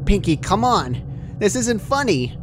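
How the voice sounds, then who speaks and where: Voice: medium pitch, very animated, unhurried, background noise, pitched above the performer's own voice. A performer voicing a terrified young female pegasus pony in a fan fiction reading, pleading in a breathless, stammering way. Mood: panicked.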